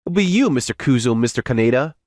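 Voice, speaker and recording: A man's voice: medium pitch, expressive, fast, a little mellow, dry recording, clean studio sound, lightly acted. A man playing an earnest young office worker who narrates and voices his story in a manga dub. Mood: confident, firm. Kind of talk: scripted first-person manga recap narration and dialogue.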